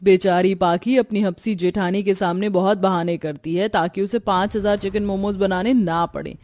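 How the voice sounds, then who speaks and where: A woman's voice: mid-range voice, steady tone, normal speed, darkish tone. A woman narrator telling a scripted cartoon story.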